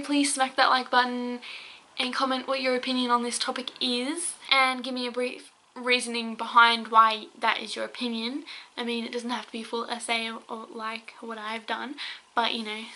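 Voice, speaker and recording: A woman's voice: on the high side, bright, forceful tone. Breathless, heated young woman vlogger. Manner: heated, rambling, talks straight to viewers. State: hoarse, losing her voice. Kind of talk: angry opinion rant to camera.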